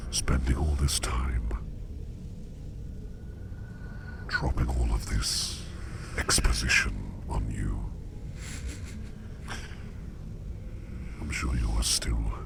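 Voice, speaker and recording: A curious, courteous sleep demon played by a man murmurs in an ASMR roleplay. The voice is rock-bottom low and heavily creaky, sinking into breathy whispers. The phrases come slowly, in formal, old-fashioned wording, over clearly audible noise. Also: exaggerated voice. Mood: gentle, reassuring. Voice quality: very deep, gravelly, breathy whisper. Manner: slow, measured, formal old-fashioned diction. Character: curious, gentle, formal male sleep demon, dream walker.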